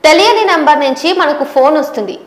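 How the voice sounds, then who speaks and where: A woman's voice: sits high, expressive, a little fast. A woman journalist, presenting a scripted news explainer directly to viewers. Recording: roomy, echoing voice recording.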